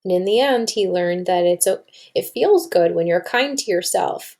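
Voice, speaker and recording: A woman's voice: on the low side, lively intonation, normal speed, a little mellow. A woman reading a storybook aloud to children and explaining it like a teacher, with questions to the listeners.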